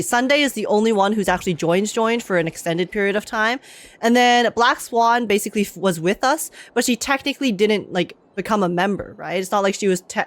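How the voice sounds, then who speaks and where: A woman giving casual, chatty, rambling reaction commentary as she streams a game. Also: medium pitch. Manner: chatty, rambling, animated asides. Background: faint game music.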